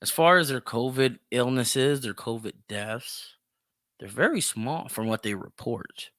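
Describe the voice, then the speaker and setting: A man's voice: medium pitch, a little slow, a bit bright, dry recording, clean studio sound. A man hosting a podcast of opinionated solo commentary, speaking emphatically with plenty of swearing and repeating himself for emphasis. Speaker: podcast host.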